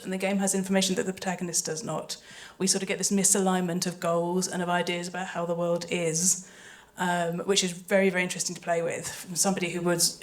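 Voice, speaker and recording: A woman speaking thoughtfully on a panel, explaining creative work in a hesitant, explanatory way into a handheld microphone. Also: fairly low pitch. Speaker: audio drama composer and panelist. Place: live festival panel. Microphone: handheld microphone passed between panelists.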